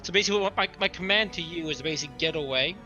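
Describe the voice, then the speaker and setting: A man's voice: on the high side, racing, bright, forceful tone, muffled recording. A man talking casually as a player in a tabletop roleplaying game, blunt and assertive. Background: music.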